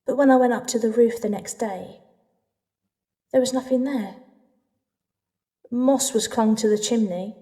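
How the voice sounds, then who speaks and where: A woman with a British accent playing a lonely, introspective woman in an audio drama, speaking intimately with deliberate pauses. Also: on the high side, warm tone, close to an everyday voice. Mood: reflective, uneasy. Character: lonely, introspective woman telling her dark love story. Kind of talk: dramatic first-person audio drama narration and dialogue.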